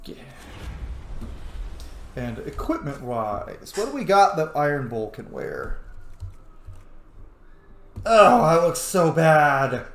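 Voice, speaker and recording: A man's voice: fairly high pitch, wildly swooping pitch, takes it slowly, vocal fry, long pauses. A man doing casual, chatty, informal gaming commentary as he plays. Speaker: gaming video host. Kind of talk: casual roleplay playthrough commentary. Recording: roomy sound with faint noise.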